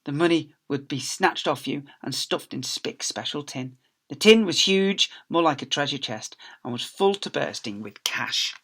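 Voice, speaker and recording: A man's voice: fairly high pitch, animated, moderate pace, sharp tone, husky. A man, a teacher reading aloud, tells a children's story expressively, with theatrical emphasis and phrases that drop away at the end.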